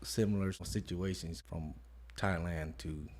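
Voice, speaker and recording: A man in his thirties from Modesto, California, talking quietly and reflectively as an interview guest and leaning often on "you know". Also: low-pitched, fairly even intonation, a little slow, slightly rough. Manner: soft-spoken, reflective, many "you know" fillers. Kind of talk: casual conversation.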